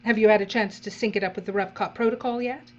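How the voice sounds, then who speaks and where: An actress playing a coolly menacing, condescending female overseer, measured and dry, in a dark audio drama. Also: medium pitch, fairly even intonation, close to an everyday voice. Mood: cool approval. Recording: dull audio with faint mains hum.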